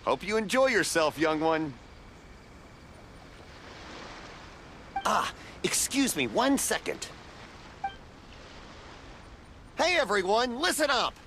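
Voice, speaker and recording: Folksy stall keeper, video game, high male voice, bright, lively swoops, jovial, generous. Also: normal speed, slight background noise, full character voice. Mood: friendly, excited. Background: game music.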